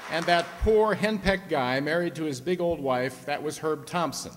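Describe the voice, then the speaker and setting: A man's voice: fairly high pitch, normal speed, a crowd in the background. A man announcing on stage as the host of a live radio play. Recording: echoey hall, audience and background noise.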